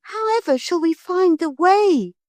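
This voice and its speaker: A curious young girl in a storybook, eager and full of questions, as a woman puts her on. The voice is lifted well above a plain reading voice, bright with rising lines. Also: very high voice, expressive, unhurried, no room sound, voice pushed up. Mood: wondering.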